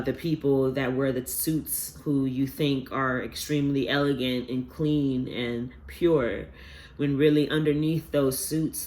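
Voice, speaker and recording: A man giving a casual collective tarot reading, rambling and earnest with many fillers, his voice stuffy from a sinus infection. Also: medium pitch. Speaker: tarot reader.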